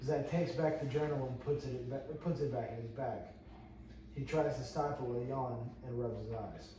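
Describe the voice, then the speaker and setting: A man's voice: medium pitch, normal speed, dark tone. A man reading stage directions aloud at a script table read, as narrator. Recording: very echoey room, distant mic, noisy.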